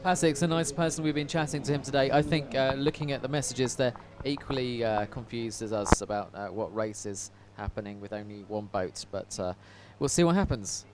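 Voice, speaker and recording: A man's voice: mid-range voice, a little fast, few pauses, music in the background. A man chatting casually and a little hesitantly on a live broadcast.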